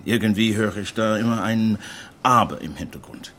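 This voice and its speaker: A man's voice: lowish voice, normal speed, a bit bright, a little hiss or hum, close to an everyday voice. An actor playing an assured, indulgent businessman, coaxing and persuasive, in a naturalistic radio drama. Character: assured, indulgent American businessman courting a woman.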